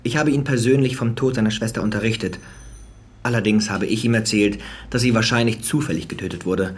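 An actor playing a businesslike homicide detective with a dry, matter-of-fact delivery in a crime radio drama. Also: male voice, fairly low pitch, a little fast, natural voice. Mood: factual, assured. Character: businesslike male homicide detective reporting findings. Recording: faint ambient noise.

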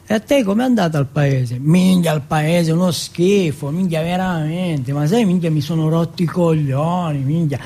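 A man voicing an excitable, streetwise teenage boy in a stage monologue, slangy, insistent and full of swearing. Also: a little high, moderate pace, few pauses. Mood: swaggering, animated. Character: excitable, foul-mouthed streetwise teenage boy from housing projects.

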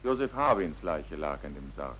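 A man's voice: very deep voice, big pitch swings, normal speed, fairly bright tone, a little hiss or hum, heavily acted. A male actor playing a stern, insistent military police interrogator in a German drama, clipped and commanding. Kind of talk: German radio drama or film-dub acting.

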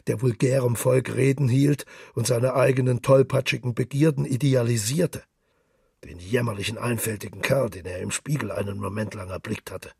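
A man with a husky, gravelly voice reads a literary story in as a dramatic radio narration, brooding and measured. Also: on the low side, darkish tone, no room sound, clean studio sound.

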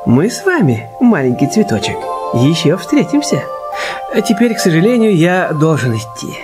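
An actor playing a polite, shrewd Chinese detective with courteous, flowery manners in a radio play. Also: male voice, fairly high pitch, big pitch swings, normal speed, over music. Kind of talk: Russian radio play acting.

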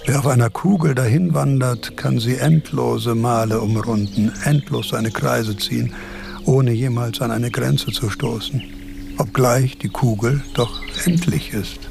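Dry philosopher, gravelly fry, medium pitch, formal scholarly diction, pedantic, radio drama. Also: male voice, unhurried, music in the background. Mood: contemplative. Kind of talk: German radio play acting.

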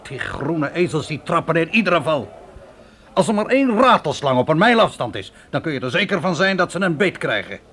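A man's voice: on the high side, fairly bright tone, slight background noise. A man playing a seasoned, cynical frontier guide in a radio drama, grumbling in a sardonic, colourful way.

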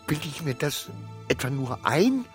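An actor playing an anxious, muddled clerk in a radio play, muttering hesitantly to himself in inner monologue. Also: male voice, fairly high pitch, big pitch swings, a little slow. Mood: bewildered, uneasy. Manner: hesitant, muttering to himself, swinging from murmur to outburst. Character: anxious, muddled male clerk, brooding and self-persuading. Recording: studio radio play with music.

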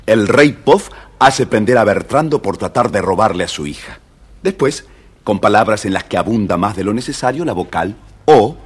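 Lively, husky Argentine male storyteller. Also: fairly low pitch, moderate pace. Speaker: narrator. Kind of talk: scripted children's story narration.

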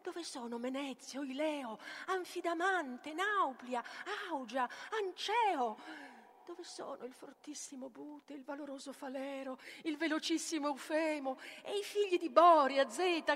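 A woman's voice: sits high, a little slow, a bit bright. A woman playing a bitter, betrayed Greek hero in a declamatory tragic stage monologue, moving between whispers and outcries. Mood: desperate calling. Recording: reverberant hall with long echo.

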